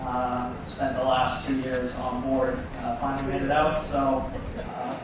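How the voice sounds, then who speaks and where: An American man gives a brief self-introduction as a ship's officer in an even, unvarying tone. He is far from the mic, with very strong echo around him. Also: mid-range voice, measured pace. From Boston, United States. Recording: distant, very echoey noisy room.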